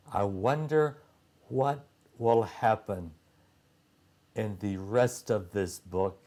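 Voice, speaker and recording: A man reading a children's story aloud as a narrator, phrasing deliberately with frequent pauses. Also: on the low side, a little mellow.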